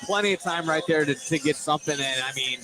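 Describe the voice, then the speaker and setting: A man calling a live high school basketball game on radio, excitable and correcting himself as he goes. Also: quick pace, loud music behind the voice, low-quality recording. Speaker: play-by-play basketball commentator. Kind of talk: live sports radio play-by-play.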